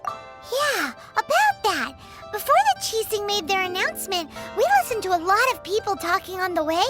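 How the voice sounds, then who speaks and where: A little floating fairy sidekick from a video game. The voice is extremely high, squeaky and childlike, swinging animatedly with blunt curiosity. Loud music plays underneath. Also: female voice, big pitch swings, normal speed. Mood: inquisitive. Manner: refers to self in third person, exclaiming. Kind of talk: scripted game cutscene dialogue.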